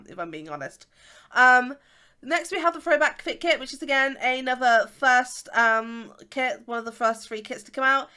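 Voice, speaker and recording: Congested English woman in her twenties, bright, chatty host, rambles with fillers. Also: a little high. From England. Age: 20s. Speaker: YouTuber. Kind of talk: casual chat.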